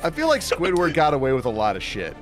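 A man's voice: on the high side, huge pitch swings, fast, music in the background. A man hosting a fighting game stream with casual banter and commentary.

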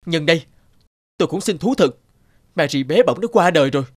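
A man voicing a grieving but determined village father pleading his case in an animated folktale. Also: a little high, brisk, dry recording, clean studio sound. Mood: sorrowful, pleading.

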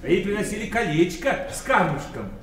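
High-pitched, glib, coaxing son-in-law. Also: male voice, brisk. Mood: coaxing, persuasive. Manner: coaxing, chatty, flattering, peppered with rhetorical questions. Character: jovial, pushy, glib son-in-law scheming to matchmake. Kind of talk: Soviet-era radio play acting. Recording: roomy, distant, faintly noisy radio play.

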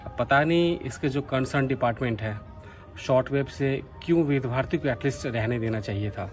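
A man's voice: mid-range voice, fairly quick. A man from Bihar, India, talking casually and earnestly as a radio hobbyist making a vlog. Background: music from a radio.

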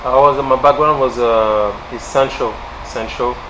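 A young man with a French accent, a fighter being interviewed in a large indoor gym, speaking haltingly with many fillers. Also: medium pitch, steady tone, noisy background. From France. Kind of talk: casual interview.

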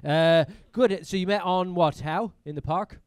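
A man's voice: high-pitched, a little slow, clear, resonant tone. A young man with a mixed British and American accent doing stand-up crowd work on a comedy club stage, teasing the audience and swearing, speaking into a handheld mic.

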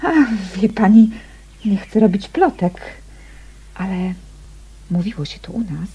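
An actress playing a gossipy neighbour in a radio mystery, confiding and often hushed while feigning discretion. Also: female voice, medium pitch, measured pace, breathy edge, muffled recording. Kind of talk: radio mystery drama acting.

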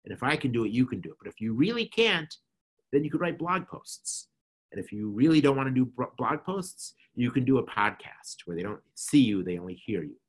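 A man's voice: mid-range voice, very animated, fairly quick, breathy edge. A middle-aged man with a nasal voice, giving earnest, coaching advice as an interview guest over a video call. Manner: earnest, coaching, repeats phrases. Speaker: interview guest, marketing consultant. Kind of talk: explaining advice in an online talk. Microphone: video call webcam.

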